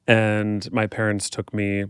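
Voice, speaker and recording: Smooth, low-voiced American man in a dry room. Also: completely flat delivery, takes it slowly, dry recording. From United States, Kansas City area. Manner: casual, uses like and you know often. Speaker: podcast guest, performer and fitness instructor. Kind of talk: reflective, candid interview conversation.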